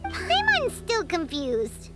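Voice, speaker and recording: A woman voicing an excitable, easily confused little fairy companion in a video game, with a squeaky childlike delivery. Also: slow pace, exaggerated voice. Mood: puzzled. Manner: squeaky, speaks of herself in third person. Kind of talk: anime-style video game acting. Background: loud music.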